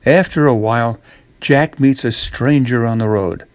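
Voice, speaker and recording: An older man narrates a children's storybook. His delivery is slow and unhurried, at a middling male pitch, in a dry recording with very little room around it. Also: no room sound.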